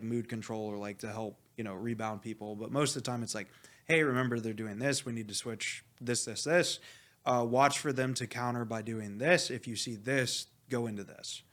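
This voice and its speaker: A man speaking as a coach in a casual interview, animated and blunt, rambling with lots of "like" and some swearing. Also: medium pitch, brisk. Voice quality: loud voice. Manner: animated, rambling, heavy use of "like". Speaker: esports coach being interviewed. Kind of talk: casual, candid interview talk.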